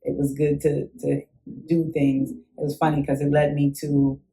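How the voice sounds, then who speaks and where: A young woman talks through her childhood memories in an easygoing interview. She is animated, laughs often and acts out what she felt back then. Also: sits low, moderate pace, rough recording. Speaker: interview guest. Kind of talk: casual conversational storytelling.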